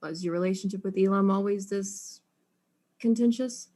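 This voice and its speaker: Casting: woman playing tough investigator, mid-pitched, dry and curt, easily exasperated, comic audio drama. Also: normal speed, mellow, lightly acted. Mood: probing. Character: blunt, tough, exasperated detective questioning suspects.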